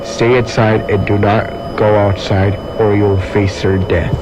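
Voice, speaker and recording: Through heavy noise comes a low-voiced male emergency announcer, acted by a man in a disaster drama. He reads formal, grave warnings with very little variation in tone. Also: measured pace, close to an everyday voice. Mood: grave, urgent. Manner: formal, flat reading. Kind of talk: scripted emergency broadcast announcement. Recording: dull lo-fi audio with loud noise.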